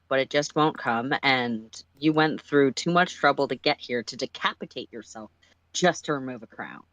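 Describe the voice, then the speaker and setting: Expressive story reader, dry close sound. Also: mid-range voice, no room sound, rough recording. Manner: animated second-person storytelling, stumbles and self-corrects. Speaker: narrator reading a fan story on a livestream. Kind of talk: expressive story reading with casual asides.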